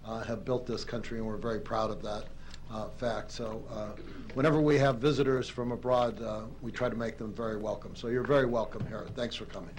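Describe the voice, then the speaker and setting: A county commissioner, a man, giving welcoming remarks at a public meeting, halting and full of fillers. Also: fairly low pitch, steady tone, slight background noise. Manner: halting, hesitant, many fillers. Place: county legislative chambers.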